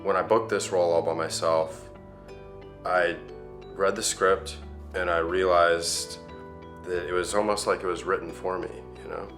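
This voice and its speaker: A man playing a self-important, dim actor, speaking pompously and haltingly in a comic mock interview. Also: sits low, fairly even intonation, fairly bright tone, faint music behind. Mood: smug.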